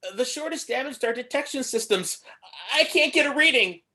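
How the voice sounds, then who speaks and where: A woman's voice: high voice, expressive, fairly quick, sharp tone. A woman playing a sassy robot sidekick to a supervillain in a script read, with a sardonic manner. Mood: reporting. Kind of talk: amateur table read of a film script.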